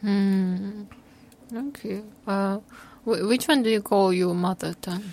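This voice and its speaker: A woman's voice: mid-range voice, a little slow, creaky, darkish tone. A woman interviewer asking questions in an unscripted, hesitant and attentive way. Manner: hesitant, attentive with frequent backchannels.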